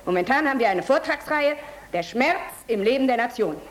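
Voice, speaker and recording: Actress as a brazen, high-voiced young fanatic woman. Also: lively intonation, brisk, a little hiss or hum, lightly acted. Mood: fervent, boastful. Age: young adult. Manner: brazen, taunting, fervent. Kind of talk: German radio drama acting.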